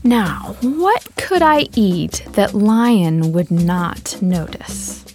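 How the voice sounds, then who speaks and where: A woman voicing a sly, hungry male hyena in a low, breathy, menacing voice that drops to a whisper. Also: big pitch swings, extremely slow, a little mellow, soft background music, full character voice. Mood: sly menace.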